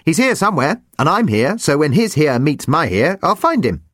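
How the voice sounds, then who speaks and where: A man voicing a relentlessly cheerful little male creature with a shrill, chirpy voice. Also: big pitch swings, normal speed, fairly bright tone, no room sound, clean studio sound.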